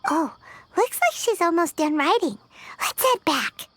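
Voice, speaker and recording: Squeaky little floating sprite buddy, super high, jumps all over the place. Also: female voice, big pitch swings, unhurried, a bit bright, slight background noise, heavily acted. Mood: thoughtful. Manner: chirpy, exclaims often, talks about herself in third person. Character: tiny floating girlish companion sprite, excitable. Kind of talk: game voice acting.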